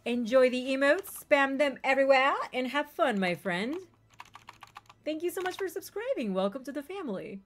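A woman streamer whose first language is not English, chatting excitedly with raiders and telling stories quickly. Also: high voice. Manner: excitable, bubbly, quick storytelling. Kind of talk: casual stream chat.

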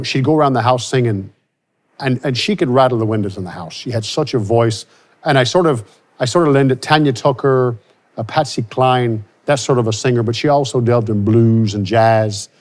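A man's voice: medium pitch, darkish tone. A man in his forties from Louisiana, a country singer being interviewed, speaking reflectively with frequent restarts, recorded on a studio set with a lapel mic. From Louisiana, USA. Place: studio with starry backdrop.

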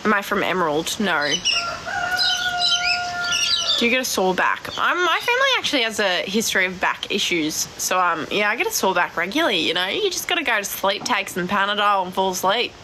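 A blunt Australian woman in her twenties with a naturally deep voice, chatting casually on a live stream from her phone and swearing freely. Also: big pitch swings, fairly quick, sharp tone, a little hiss or hum, poor audio. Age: 20s. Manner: blunt, swears a lot, laughs easily. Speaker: cattle farmer vlogger. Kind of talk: casual live stream chat answering comments. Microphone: phone at arm's length.

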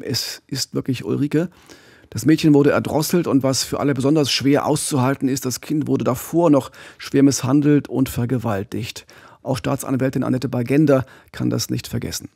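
Gravelly, husky middle-aged man telling true crime. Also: medium pitch, a little mellow, no echo. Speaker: true-crime podcast host. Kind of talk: podcast storytelling, partly scripted. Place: small podcast studio. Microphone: studio mic with pop filter, headphones.

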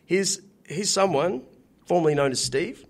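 A man's voice: sits high, measured pace. A man hosting a podcast, rambling and stumbling over his words. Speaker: podcast host. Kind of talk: casual interview chat.

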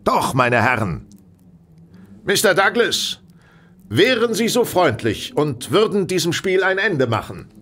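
A man's voice: sits high, big pitch swings, measured pace, a bit bright, a little hiss or hum, exaggerated voice. An actor playing a shrewd, self-assured male detective in a German radio play, speaking with precise, theatrical courtesy. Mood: revealing.

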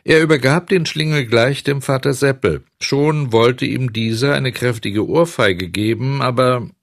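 A man narrating a children's audiobook in an expressive, dramatic way, speaking directly to young listeners. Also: mid-range voice, gravelly, no room sound, clean studio sound.

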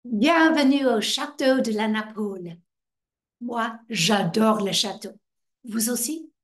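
A woman's voice: fairly high pitch, slow. A woman in her sixties with an American accent, performing a playful monologue as a chatty guide welcoming visitors, with teasing asides. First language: English. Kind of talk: theatrical monologue screen test. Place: indoor stairwell by a wrought-iron railing.

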